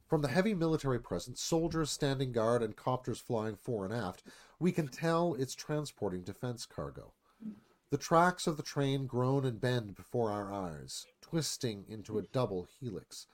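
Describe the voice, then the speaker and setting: Male narrator, lively swinging delivery, dramatic reading of stage directions. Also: mid-range voice.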